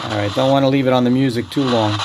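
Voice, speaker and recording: A man hosts a casual gadget review in an ordinary mid-range voice. He talks off the cuff in a halting, hesitant way, as if demonstrating something as he goes. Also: medium pitch, steady tone, very noisy. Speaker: radio reviewer and host.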